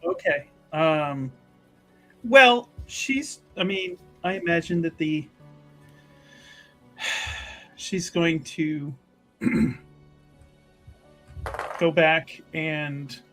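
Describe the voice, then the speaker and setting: A man playing a tabletop game, narrating his character in a halting, deliberate, improvised way. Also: fairly high pitch, bright, forceful tone, soft background music, rough recording.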